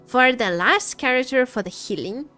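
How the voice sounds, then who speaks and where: A woman livestreamer chatting casually with viewers about games, halting and jokey, often repeating herself. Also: high voice, animated, pushed and bright. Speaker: livestreamer, gaming content creator. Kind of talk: casual live gaming commentary, chatting with viewers. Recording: faint music behind the voice. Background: faint music.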